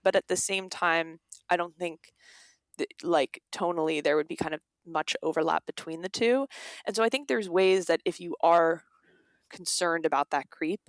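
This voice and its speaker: A woman's voice: on the low side, normal speed, dry recording, studio quality. A young woman speaking casually as an interview guest, informal and peppered with "like" and quoted asides. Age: young adult. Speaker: guest, author.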